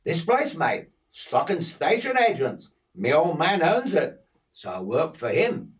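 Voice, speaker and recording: A man's voice: on the high side, wildly swooping pitch, unhurried, distant mic, pitched above the performer's own voice. A man voicing a friendly, chatty young country lad with an Australian accent. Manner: chatty, easygoing.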